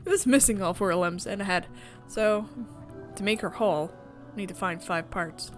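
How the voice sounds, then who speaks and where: A woman's voice: medium pitch, normal speed, faint music behind. A woman reading story narration aloud from a visual novel in a casual playthrough. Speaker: visual novel reader and streamer.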